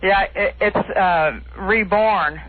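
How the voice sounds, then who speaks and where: A woman's voice: mid-range voice, slow, no echo. A woman calling in to a radio show, debating in an earnest, insistent way. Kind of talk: debating religion on air. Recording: thin tinny phone line.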